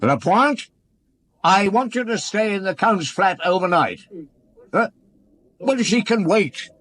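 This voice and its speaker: An actor playing an impatient police superior in a radio drama, giving orders in a clipped, commanding manner. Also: male voice, high-pitched, lively intonation, takes it slowly, fairly bright tone, exaggerated voice.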